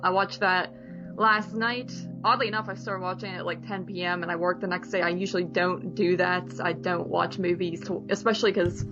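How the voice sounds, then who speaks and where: A woman co-hosting a podcast, chatting casually about movies in a conversational way with softened opinions. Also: fairly low pitch, flat delivery, fairly quick, muffled recording, over music. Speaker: podcast co-host. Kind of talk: casual movie review chat.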